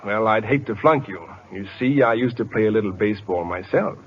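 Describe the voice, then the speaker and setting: An actor playing an earnest, bookish chemistry professor in a 1940s radio style, with precise, formal diction. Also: male voice, on the low side, fairly quick, lightly acted. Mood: brisk, kindly. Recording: dull old radio broadcast with music.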